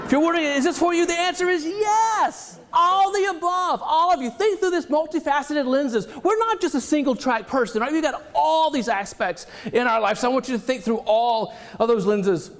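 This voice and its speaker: A man with a Southern US accent delivers a motivational keynote with lively energy. His voice sits notably high for a man, bright and bouncing as he jokes with the crowd. He speaks from a conference stage. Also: moderate pace, few pauses. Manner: energetic, animated, joking with the audience.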